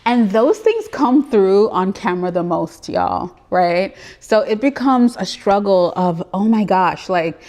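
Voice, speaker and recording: A woman's voice: mid-range voice, animated, unhurried, hardly any pauses, a little mellow. A woman from Trinidad whose accent is mixed with Brooklyn and Texas, a business coach giving an earnest, chatty motivational talk on a live stream and greeting viewers as she goes.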